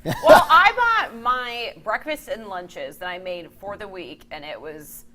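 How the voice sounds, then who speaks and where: Lively, cheerful woman co-host. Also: mid-range voice, slow, slight background noise. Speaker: news co-host. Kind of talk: casual studio banter. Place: TV studio.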